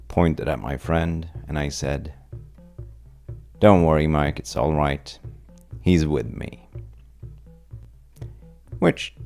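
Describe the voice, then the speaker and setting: A man playing a charming, shifty stranger at a bar in an intimate audio roleplay, telling wry, teasing tales in a hesitant, gravelly way. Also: darkish tone, full character voice. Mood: amused bragging. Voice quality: very deep, gravelly, breathy at times. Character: well-dressed, untrustworthy charming male stranger at a bar, bragging playfully.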